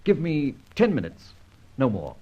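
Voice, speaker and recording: A husky, dashing masked vigilante in a vintage radio detective serial. He is sardonic and commanding, with theatrical, widely swooping delivery. The old recording sounds dull. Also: medium pitch, huge pitch swings, unhurried. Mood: tender, theatrical. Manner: theatrical, clipped, declamatory. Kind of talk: old-time radio drama acting.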